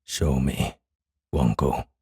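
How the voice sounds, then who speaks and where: An actor playing a gruff, short-tempered samurai in an audiobook, terse and imperious, with a growling voice. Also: male voice, rumbling low, steady tone, takes it slowly, a little mellow, no room sound, studio quality, heavily acted. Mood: commanding. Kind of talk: audiobook character acting.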